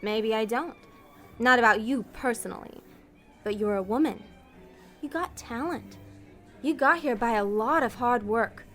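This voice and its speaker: A woman playing a scheming, bossy female agent of Hell in a comedic audio drama, brisk, persuasive and easily exasperated. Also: fairly high pitch, soft background music, close to an everyday voice. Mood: manipulative.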